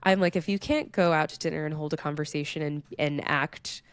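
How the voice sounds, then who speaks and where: A woman's voice: low voice, steady tone, a little fast, smooth, dry recording. A woman co-hosting a podcast in casual chat, a bit stuffy from a cold, often acting out little quotes. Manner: chatty, acts out quotes. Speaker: podcast co-host.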